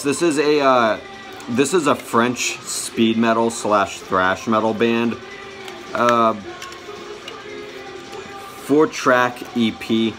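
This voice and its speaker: A young man giving a casual show-and-tell to camera, halting and often correcting himself. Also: lowish voice, bright, forceful tone. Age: young adult. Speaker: vlogger showing a music collection. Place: small bedroom, indoors.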